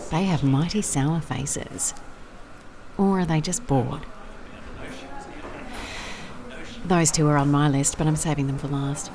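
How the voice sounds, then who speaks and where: A woman's voice: deep voice, normal speed, a crowd in the background. A woman playing a wry, observant private investigator in an audio drama, with a dry, conversational manner. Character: wry, observant female private investigator narrating her case. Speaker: lead actor in an audio drama. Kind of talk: audio drama case notes and dialogue.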